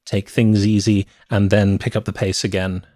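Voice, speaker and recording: Man, middle-aged, lowish voice, measured explainer, conversational film review, close podcast mic, no room echo. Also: level tone, mellow. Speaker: movie podcast co-host. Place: small cluttered home room. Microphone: close podcast microphone, headset.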